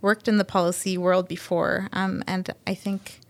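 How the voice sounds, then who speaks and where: A woman's voice: fairly low pitch, level tone, moderate pace, gravelly. A woman in her twenties from the Toronto area, speaking appreciatively about her work in an interview. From Greater Toronto Area. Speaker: communications advisor, interview guest. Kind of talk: casual conversational interview.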